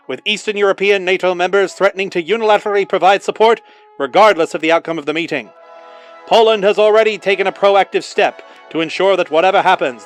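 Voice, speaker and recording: A man's voice: high voice, lightly acted. A man playing a rousing, patriotic 1940s wartime newsreel announcer, narrating in a dramatic, declamatory style over music.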